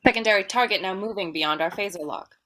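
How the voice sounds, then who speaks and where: An actress playing a dutiful, alert female helm officer in a sci-fi audio drama, brisk and military. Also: mid-range voice, bright, forceful tone, close to an everyday voice.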